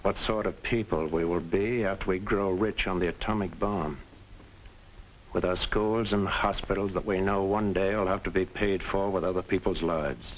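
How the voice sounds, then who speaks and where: An actor playing a sober, principled small-town man in a 1950s film drama, speaking earnestly and deliberately on an old soundtrack. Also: sits low, fairly even intonation, a little hiss or hum, close to an everyday voice, low-quality recording. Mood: grave, earnest.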